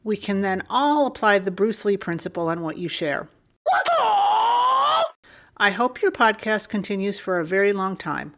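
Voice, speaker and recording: Woman, podcast co-host, reading email aloud, clear and steady delivery. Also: medium pitch, moderate pace, pauses often.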